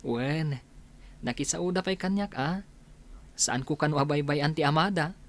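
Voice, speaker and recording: A man's voice: fairly high pitch, normal speed, natural voice. A man playing a humble, grateful young doctor in an Ilocano radio drama.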